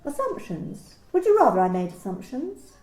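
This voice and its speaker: A woman playing a coolly clinical office manager in an amateur radio play, asking personal questions in a calm, matter-of-fact, bureaucratic way. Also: sits high, moderate pace, echoey room. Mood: businesslike.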